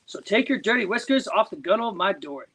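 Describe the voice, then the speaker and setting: Man playing a fisherman, stubborn, salty, defiant, highish voice. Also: animated, fast talker, pushed and bright, breathy edge, full character voice. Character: stubborn, feisty male fisherman.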